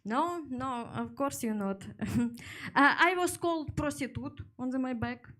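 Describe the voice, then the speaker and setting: High-voiced Ukrainian woman doing halting stand-up. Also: fairly bright tone. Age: 20s. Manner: halting English, switching into her own language, laughing at her own jokes. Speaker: stand-up comedian. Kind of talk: stand-up comedy set. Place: comedy club stage with a live audience.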